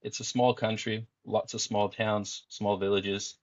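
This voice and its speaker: A man in his forties from Denmark, a podcast guest telling his life story in a relaxed, meandering way. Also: fairly low pitch, unhurried, dry recording, highs cut off. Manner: frequent fillers and restarts, easygoing.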